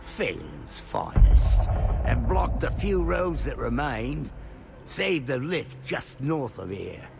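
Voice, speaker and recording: A grouchy game farmer, super gravelly, voice swings all over, music behind. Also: male voice, mid-range voice, big pitch swings, takes it slowly, full character voice. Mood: bitter, weary. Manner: grumbling, theatrical. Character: exasperated gravelly turnip farmer, grumbling and fed up. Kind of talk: video game character acting.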